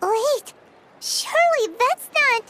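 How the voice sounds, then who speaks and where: A woman's voice: very high-pitched, slow pace, a little hiss or hum, exaggerated voice. A woman voicing a small, cute, childlike and easily startled companion creature in a video game. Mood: frightened, hesitant. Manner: childlike, expressive, hesitant when scared. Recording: background music under the voice.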